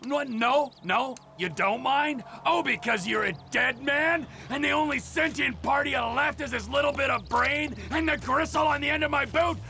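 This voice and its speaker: A volatile, sardonic middle-aged game villain with a North American accent. His gravelly voice is forceful and swings wildly in pitch. He taunts and menaces, over faint noise. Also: full character voice. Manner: taunting, sardonic, erupting into shouts. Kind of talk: video game cutscene acting.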